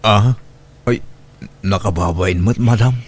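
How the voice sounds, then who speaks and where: A man's voice: fairly low pitch, slow, husky. A man with an Ilocano accent playing a humble, anxious young single father in a radio drama, hesitant and earnest. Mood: sheepish, sad.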